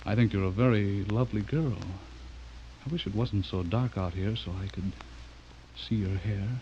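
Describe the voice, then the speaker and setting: An actor playing a smooth, menacing man who is secretly a werewolf, in old-time radio horror style, with suave, falsely courteous delivery. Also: fairly low pitch, normal speed, warm tone, natural voice. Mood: smooth, reassuring. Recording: muffled old radio recording, faint noise.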